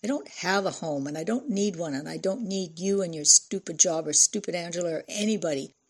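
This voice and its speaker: A woman's voice: on the low side, expressive, moderate pace, a little mellow. A woman voicing a bored, defiant teenage girl in an audiobook, slangy and snappy. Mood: angry, fed up.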